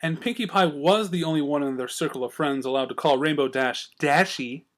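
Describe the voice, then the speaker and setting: A man reading fiction aloud as a storyteller, with expressive scripted narration. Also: fairly high pitch, brisk. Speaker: story reader.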